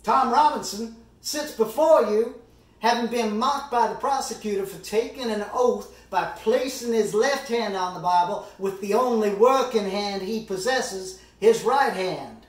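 Guy doing a middle-aged lawyer giving a big earnest courtroom speech, pretty high voice for a man, dramatic pauses, far from the mic. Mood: forceful, accusing. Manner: deliberate, dramatic pauses, emphatic oratory. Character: earnest, impassioned male Southern defence lawyer, middle-aged. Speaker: amateur actor performing a monologue. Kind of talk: theatrical courtroom summation monologue. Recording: roomy echo, distant microphone.

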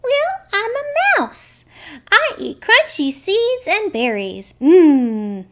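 Tiny puppet mouse, very high, squeaky, animated. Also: female voice, slow pace, exaggerated voice. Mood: chirpy. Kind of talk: children's puppet show.

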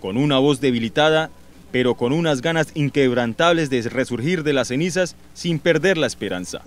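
A man with a mid-pitched voice narrates a news report in a formal, dramatic way. Music plays clearly under his voice. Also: normal speed.

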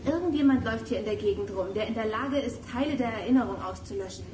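A woman acts an analytical girl detective in a children's audio drama. She sounds matter-of-fact and decisive, in a slightly high register. The sound is roomy, with faint noise. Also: fast, darkish tone, lightly acted. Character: sensible, analytical girl detective, leader of gang. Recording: echoey room, faint noise.

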